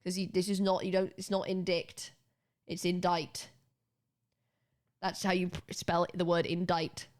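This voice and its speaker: A woman's voice: fairly low pitch, little pitch movement, measured pace, long pauses. A British woman streaming, chatting casually and rambling with her viewers, swearing freely and often restarting her sentences. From Britain. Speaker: livestreamer.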